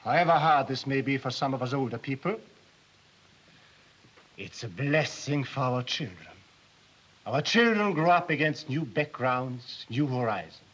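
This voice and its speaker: An actor playing a principled older German émigré leader, speaking with deliberate, impassioned dignity in a 1940s film drama. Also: male voice, mid-range voice, animated, a bit bright, breathy edge. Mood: firm, sorrowful. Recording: dull 1940s film soundtrack.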